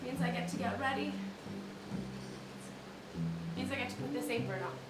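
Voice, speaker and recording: High-voiced woman in a theatre monologue. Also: normal speed, over loud music. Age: 30s. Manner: storytelling with laughs, mimicking customers. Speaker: solo stage performer. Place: theatre stage with live audience. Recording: roomy theatre sound from a distance. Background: upright bass, audience laughter.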